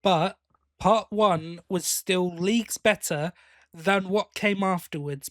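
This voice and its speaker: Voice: medium pitch, a bit bright, dry recording. A podcast host chats casually through a review in a mid-range voice. The delivery is opinionated, leaning into emphatic complaint. The sound is dry and close.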